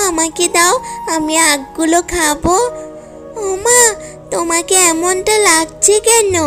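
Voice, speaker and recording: Woman voicing a high-pitched eager little fox girl. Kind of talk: cartoon story dialogue. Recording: music under the voice.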